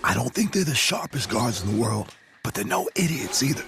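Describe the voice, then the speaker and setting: An actor playing a cautious, protective survivor in a video game, giving urgent instructions. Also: male voice, mid-range voice, big pitch swings, brisk, vocal fry, background noise. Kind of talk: naturalistic video game acting.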